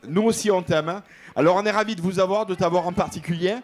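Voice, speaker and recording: A man with a markedly high voice hosts a live music show. He chats informally with his guest on stage, chummy and ready to tease, keeping the interview casual. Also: fast.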